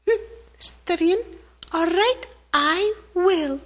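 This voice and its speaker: A woman's voice: slow, fairly bright tone, exaggerated voice. A woman voicing a small, indignant female ant who shrilly protests that things are not fair. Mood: resolute. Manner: shrill, protesting, repeats complaints.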